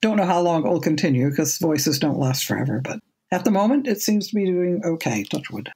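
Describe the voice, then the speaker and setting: A woman in her seventies with a low speaking voice, speaking as a guest on a podcast. She talks in a measured, thoughtful way and often repeats a word as she restarts a sentence. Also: lively intonation, warm tone, breathy edge. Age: 70s. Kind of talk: casual podcast interview.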